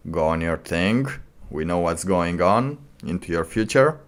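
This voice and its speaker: Slow, very low-voiced man. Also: animated. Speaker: streamer reacting to a video. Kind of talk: casual off-the-cuff commentary.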